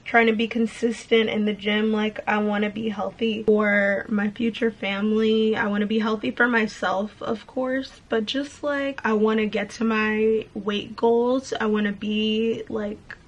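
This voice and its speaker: A woman's voice: mid-range voice, level tone, measured pace, hardly any pauses. A woman in her late twenties from the United States, chatting upbeat to the camera in her bedroom while putting on her makeup. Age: late 20s. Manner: chatty and upbeat, talking while gluing on lashes. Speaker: beauty vlogger. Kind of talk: casual chat to camera while doing makeup. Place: bedroom, indoors. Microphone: camera on desk facing her.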